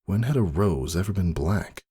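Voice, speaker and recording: A man giving a dramatic first-person story reading as narrator, husky and brooding in manner. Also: low-pitched, expressive, moderate pace, dark tone. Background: faint music.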